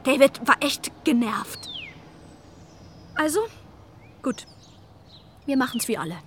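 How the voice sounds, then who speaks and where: A woman's voice: high voice, huge pitch swings, takes it slowly, a little hiss or hum, full character voice. An actress playing a fussy suburban mother of two who rambles fretfully about her house in a radio drama.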